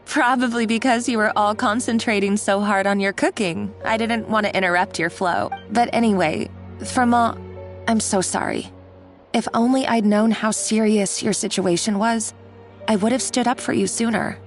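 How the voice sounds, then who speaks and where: A woman playing a sympathetic, modest kitchen coworker in a video game, gentle and conversational. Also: medium pitch, measured pace, soft background music, close to an everyday voice.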